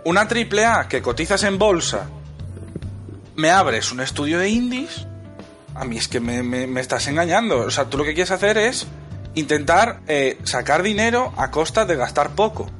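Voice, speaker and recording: A young man from co-hosting a casual video game chat, animated and pausing to search for words. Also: a little high, faint music behind. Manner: animated, hesitant mid-sentence searching for words. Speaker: podcast co-host.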